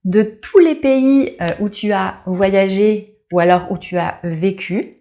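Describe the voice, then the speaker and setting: A young French woman from Paris, a French teacher, giving a lesson to camera and slowly repeating each model phrase clearly. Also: mid-range voice, dark tone. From France.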